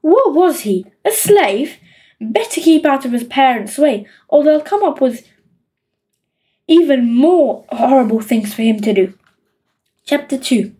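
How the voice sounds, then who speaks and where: A woman narrating a children's audiobook in an expressive storytelling style. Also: sits high, a little slow.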